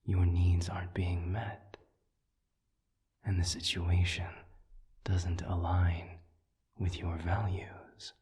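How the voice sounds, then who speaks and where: A man voicing a calm, wise demon counselor in an intimate audio roleplay, soft and breathy, speaking in measured phrases with deliberate pauses. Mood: soothing, earnest. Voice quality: breathy, whispery, very low.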